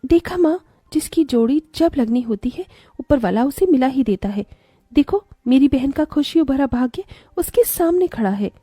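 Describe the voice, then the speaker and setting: A woman's voice: high voice, animated, normal speed, warm tone, no room sound, studio quality, soft background music. A woman voicing a sweet, loving young bride in a cartoon story, earnest and girlish, protective of her sister. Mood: happy, proud. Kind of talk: Hindi cartoon story voice acting.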